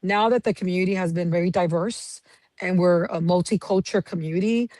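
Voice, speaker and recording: A woman in her fifties from San Antonio, Texas, a county clerk giving steady, detailed answers as a guest. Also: fairly low pitch, fairly quick, no echo. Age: 50s. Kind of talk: interview answers.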